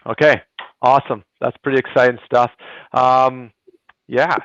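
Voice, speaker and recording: Gravelly man hosting on a lo-fi call. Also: medium pitch, measured pace, no room sound. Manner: relaxed, loose and rambling. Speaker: host. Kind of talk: casual livestream chat. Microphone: video call. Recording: dull lo-fi call audio.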